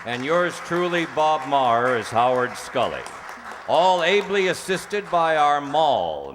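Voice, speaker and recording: A man's voice: medium pitch, a bit bright. A man acting as master of ceremonies, making theatrical, jocular curtain-call introductions to a live audience. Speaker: troupe announcer and master of ceremonies. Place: hall with an audience. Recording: echoey hall, noisy live audience.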